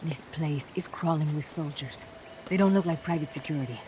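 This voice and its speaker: A woman's voice: low-pitched, a little fast, noisy background, lightly acted. A woman playing a tough young guerrilla fighter. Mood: blunt. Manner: blunt, streetwise, bitter. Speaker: game character. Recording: game cutscene with music behind.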